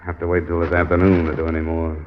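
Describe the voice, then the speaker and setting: An actor playing a cool, persistent insurance investigator with an American accent, probing and laconic in an old radio detective drama. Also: male voice, sits low, monotone, fast, background noise, natural voice. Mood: cool, businesslike. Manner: probing, laconic, matter-of-fact. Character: cool, persistent male insurance investigator, narrating his case. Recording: muffled old radio recording.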